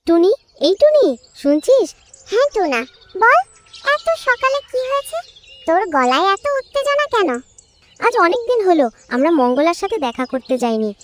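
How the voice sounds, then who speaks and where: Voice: very high voice, big pitch swings, moderate pace, a little hiss or hum. A performer voicing a small, friendly young bird in a children's cartoon, chirpy, earnest and curious. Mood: eager. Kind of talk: children's cartoon story dialogue.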